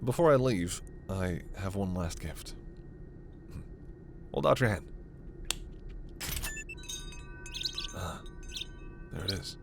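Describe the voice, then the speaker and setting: A man playing a gentle, earnest alien scientist in a sci-fi ASMR roleplay, speaking in a measured, hesitant, soft way. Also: deep voice, expressive, creaky, noisy background, exaggerated voice. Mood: gentle, fond. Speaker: voice actor.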